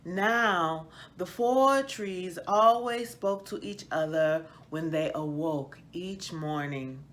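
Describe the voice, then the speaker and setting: A woman reading a children's picture book aloud as a storyteller, in a deliberate, expressive manner. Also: fairly low pitch. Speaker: read-aloud host, storyteller.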